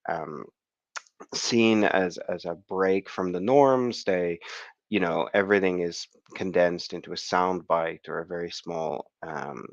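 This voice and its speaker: Croaky low-voiced man on a call. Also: measured pace, no room sound, dull audio. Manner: hesitant, many fillers and restarts. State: croaky from the flu. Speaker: conference speaker. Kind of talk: academic online talk. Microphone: video call.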